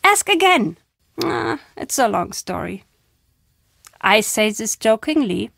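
Video game role: elderly woman storyteller, brooding and wry, slightly high voice, close dry recording. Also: big pitch swings, slow, creaky, a bit bright, no echo, exaggerated voice. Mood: dry, teasing. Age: older. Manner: dramatic, emphatic storytelling with foreboding turns.

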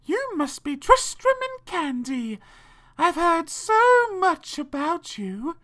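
Man putting on a very high-pitched female spider nanny. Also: sing-song, slow pace, bright, forceful tone, heavily acted. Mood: genteel greeting. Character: huge female spider nanny, polite and fretful.

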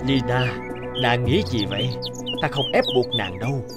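Casting: man as gallant cartoon suitor-king, patient and formal, lively mid-pitched delivery, music underneath. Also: moderate pace, close to an everyday voice. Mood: gentle, reassuring. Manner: formal, courteous. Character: courteous, patient male suitor and steppe king. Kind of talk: Vietnamese cartoon dubbing.

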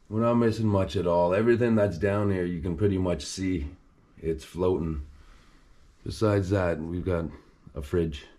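A man filming himself as he explores a flooded basement in an abandoned house, talking in a low, hushed voice while crouching and climbing over clutter. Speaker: urban explorer filming a tour. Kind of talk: hushed exploration vlog narration.

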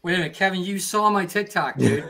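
A man's voice: high-pitched, lively intonation, gravelly, bright, forceful tone. A man hosting a comedic video-call show, joking, protesting and laughing easily. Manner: playful, protesting, quick to laugh. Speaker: host.

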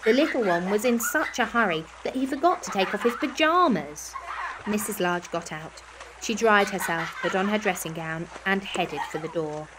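A woman reading a children's bedtime story as an expressive narrator. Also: medium pitch, normal speed, background noise.

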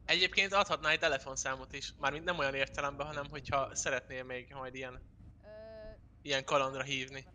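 A man's voice: medium pitch, steady tone, bright, forceful tone, a little hiss or hum. A man chatting casually in character as a player in an online roleplay game.

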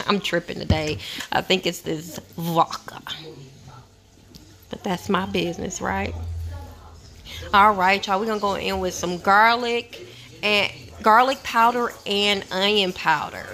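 A playful, chatty woman talks viewers through cooking in her home kitchen. Her voice sits in a middle pitch, relaxed and conversational. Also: slow pace, pauses often. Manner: chatty, playful, says y'all a lot. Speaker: cooking vlogger. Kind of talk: casual cook-along chat.

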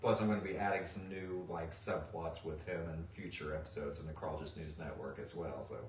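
Male host, thirties, low register, casual interview chat, recorded off-mic with faint noise. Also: steady tone, fairly quick. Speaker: host and interviewer, podcast writer. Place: indoors on a sofa in a living room. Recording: roomy, distant mic, noticeable room noise.

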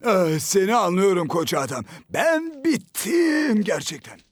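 A man's voice: high voice, very animated, a little slow, slightly rough, full character voice. A man playing an easygoing, slightly whiny young surfer-dude footballer in a dubbed cartoon. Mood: weary complaining.

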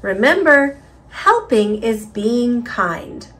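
A woman reading a children's picture book aloud with careful, expressive delivery for young listeners. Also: on the high side, takes it slowly. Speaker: storybook reader.

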